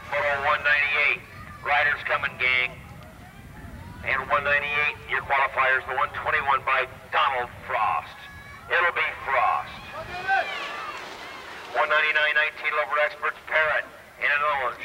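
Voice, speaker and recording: A man calling a race live in a clipped chant of names and numbers. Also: medium pitch. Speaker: motocross or BMX race announcer. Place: outdoor race track. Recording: distant public address over track noise.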